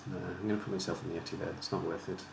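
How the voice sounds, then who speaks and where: A man's voice: fairly even intonation, vocal fry. A man from the Welsh border with a faint Welsh accent, casually talking through his moves as he plays and runs a league stream. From Welsh border region. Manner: thinking aloud, measured and low-key. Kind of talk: casual live commentary while playing. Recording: roomy with faint noise.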